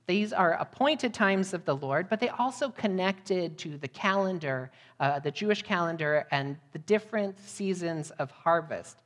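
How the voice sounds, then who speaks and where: An American woman giving a sermon to a congregation as a guest missionary preacher. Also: fairly low pitch. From United States, Midwest. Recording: long hall reverb.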